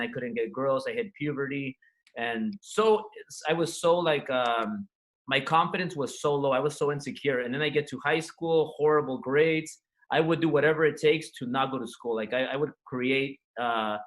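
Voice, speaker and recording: A man's voice: medium pitch, fairly bright tone, poor audio. A man from Los Angeles whose first language was Spanish, frankly telling his life story in a loose, earnest way as an interview guest. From Los Angeles, USA. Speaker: entrepreneur guest.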